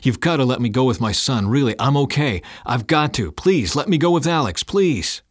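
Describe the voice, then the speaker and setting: A man's voice: medium pitch, fairly quick, no room sound, pitched above the performer's own voice, lightly acted. A man voicing a distraught father in an audiobook, pleading with urgent emotion after a crash. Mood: desperate pleading.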